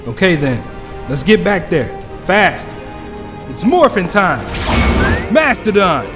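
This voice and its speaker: A man's voice: high-pitched, very animated, slow pace, in a large hall, music in the background, exaggerated voice. A man playing a resolute young superhero team leader in an audio drama, terse and commanding. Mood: battle cry.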